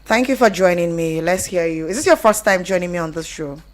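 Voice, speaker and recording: Expressive Nigerian woman hosting radio, lowish voice. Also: fairly quick. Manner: expressive, rhetorical questions, some Pidgin phrases. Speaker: radio show host. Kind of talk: conversational radio presenting with storytelling.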